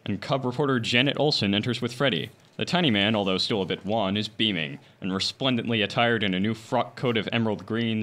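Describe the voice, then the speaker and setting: Low-voiced male radio serial announcer. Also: a bit bright. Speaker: announcer and narrator. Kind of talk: dramatic old-time radio serial narration.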